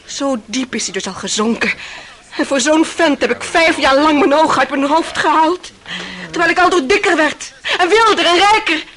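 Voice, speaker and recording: A woman's voice: high voice, normal speed, fairly bright tone. An actress playing a passionate, proud and capricious young woman in a radio play, with theatrical, intense delivery. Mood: scornful, bitter. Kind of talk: Dutch radio play acting.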